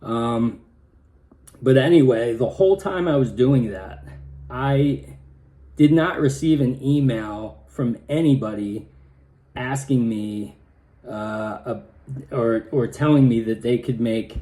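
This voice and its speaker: A man with a slightly raspy, hoarse voice talking casually in a personal vlog, with many halting restarts. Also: mid-range voice, warm tone. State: hoarse from pollen. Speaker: vlogger.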